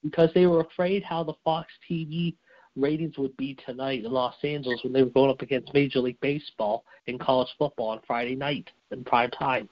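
A hoarse man co-hosts a wrestling show, his voice worn raspy. He delivers blunt, heated opinions at an ordinary pitch for a man. The audio is dull and lo-fi. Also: steady tone, brisk. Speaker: co-host.

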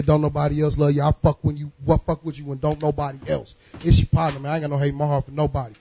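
A hoarse man with a husky voice talks over his friends, plugging his radio show in a loose, profane run. His tone sits mellow and a touch high, and the sound is dry and close. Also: no room sound. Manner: boisterous, profane, rhyming bits. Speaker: radio host giving shout-outs. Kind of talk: loose hype shout-out talk.

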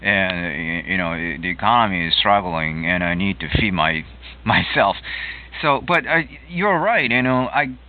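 A middle-aged man from Japan, speaking English as a second language in a relaxed chat, with many fillers and restarts. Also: low voice, a little slow, a little hiss or hum. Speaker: host. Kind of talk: casual conversation. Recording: phone-like narrow audio line.

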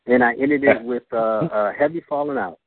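A man, a singer, speaking as a radio guest, hesitant with many pauses and fillers. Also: mid-range voice, no echo. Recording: tinny phone line.

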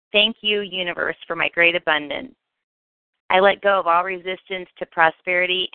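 Female meditation narrator, smooth and clean-voiced, delivering affirmations in deliberate phrases; thin, narrow-band audio. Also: lowish voice, no room sound. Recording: tinny phone-like narrow band, dry.

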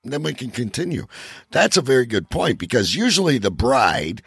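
Man, husky voice, dry close sound, casual comedic co-host, teasing, sweary, interrupting. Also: mid-range voice, very animated, normal speed, a bit bright, dry recording.

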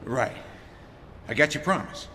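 An actor playing a gruff, sincere space smuggler pilot in a radio drama, earnest and insistent. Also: male voice, mid-range voice, animated, measured pace, pushed and bright. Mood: earnest concern. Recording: long reverb, faint background noise.

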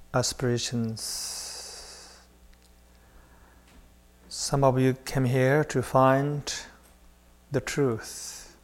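A man leading a guided meditation, speaking in short phrases with long pauses between them. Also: mid-range voice. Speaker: meditation teacher.

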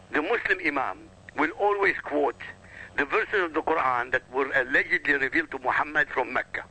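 A man's voice: fairly high pitch, pushed and bright, breathy edge, poor audio. A man whose first language is Arabic, arguing insistently on a radio talk show and lecturing with emphatic repetition. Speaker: guest.

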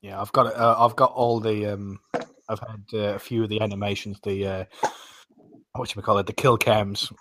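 A man's voice: lowish voice, flat delivery, moderate pace, fairly bright tone, a little hiss or hum. A man talking casually and colloquially as a panelist on a gaming podcast. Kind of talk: casual gaming roundtable chat.

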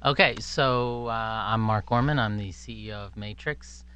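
A man with a fairly low, smooth voice answers as an interview guest. His delivery is conversational and halting, like an executive explaining things in a measured way. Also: pushed and bright.

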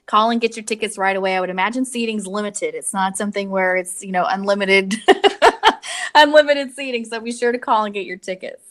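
A woman's voice: medium pitch, fairly quick, a bit bright. A woman hosting a podcast in a chatty interviewing style. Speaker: podcast host.

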